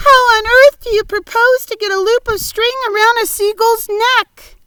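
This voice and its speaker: A woman's voice: very high voice, sing-song, slow, pushed and bright, no room sound, voice pushed up, heavily acted. A woman voicing a panicky male earthworm in a storybook reading, shrieking indignant protests. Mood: shrill protest.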